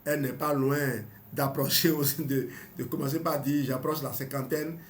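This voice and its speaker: Host, man, 50s: somewhat high voice, reads from a book then comments loosely with restarts. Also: level tone, moderate pace, slight background noise. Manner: hesitant, with restarts and small laughs. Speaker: health channel host. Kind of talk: reading a book aloud with commentary.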